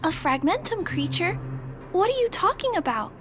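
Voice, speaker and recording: Young girl game character: very high voice, gentle, polite, earnest, resolute, over loud music. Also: big pitch swings, normal speed. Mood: earnest, concerned. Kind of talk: video game voice acting.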